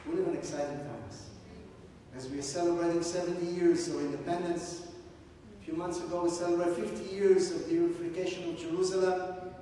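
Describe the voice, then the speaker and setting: A middle-aged Israeli man preaching in a high, lively voice, often asking questions of the people listening. His voice rings through a large sanctuary and is picked up from far off, with a long echo behind it. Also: a little fast, slight background noise. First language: Hebrew. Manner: animated, paces the stage, asks the congregation questions. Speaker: guest preacher. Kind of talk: sermon. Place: large sanctuary with stage and pulpit. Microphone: room mic from a far camera. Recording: echoey hall from far off.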